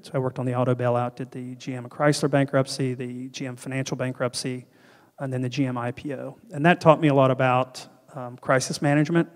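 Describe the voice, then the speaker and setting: A man's voice: mid-range voice, level tone, quick pace. Earnest man talking in a big hall. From North Carolina. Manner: earnest, gestures broadly, frequent restarts and ums. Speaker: keynote speaker and panel guest. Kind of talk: conference keynote and fireside chat. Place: large hall on stage. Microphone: handheld mic. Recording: hall reverb.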